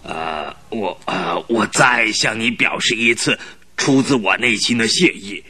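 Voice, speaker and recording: An actor playing an excitable, crafty old duke in his seventies who stammers and exclaims in a radio play. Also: lowish voice, a little slow, husky, no echo, heavily acted. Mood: grateful, curious. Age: 70s. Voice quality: flustered, full of exclamations and stammering. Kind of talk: 1970s Chinese radio drama acting.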